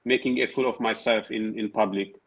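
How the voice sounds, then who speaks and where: Man, from Tigray, Tigrinya native, 30s, interview guest, measured, hesitant, many fillers. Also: lowish voice, steady tone, breathy edge. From Tigray, Ethiopia. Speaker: guest, writer and PhD researcher. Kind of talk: casual interview conversation.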